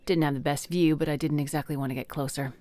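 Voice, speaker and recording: A woman's voice: low voice, steady tone, fast talker, mellow, no echo, lightly acted. A woman playing a blunt, plain-spoken peasant fisherwoman grieving her brother in a fantasy audio drama. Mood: matter-of-fact.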